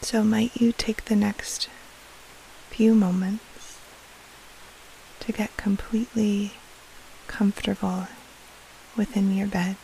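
A woman guiding a sleep meditation in a soft, breathy half-whisper, soothing, with long pauses between short phrases. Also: medium pitch, little pitch movement, low-quality recording. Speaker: meditation guide, podcast host. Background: soft rain-like hiss.

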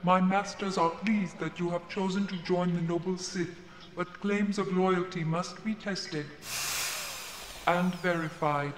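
High-pitched, sinister torture droid. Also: male voice, steady tone, measured pace. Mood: politely menacing. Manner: formal, precise, mechanical. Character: polite, servile, menacing torture droid. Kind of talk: audio drama acting. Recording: echoing reverberant chamber, background noise.

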